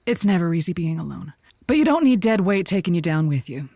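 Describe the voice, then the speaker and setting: Female radio advice host: frank, patient, reactive, animated pitch, conversational, dry recording. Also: a little fast, no room sound. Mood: reassuring, wary. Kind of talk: improvised comedy call-in radio show.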